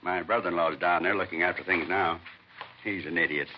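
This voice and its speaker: An actor playing a fussy, self-satisfied nightclub owner, chatty and offhand, in a 1950s radio crime drama. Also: male voice, deep voice, steady tone, brisk, natural voice. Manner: chatty, offhand, breaking off into kitchen asides. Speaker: club owner being questioned. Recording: muffled, noisy vintage radio recording.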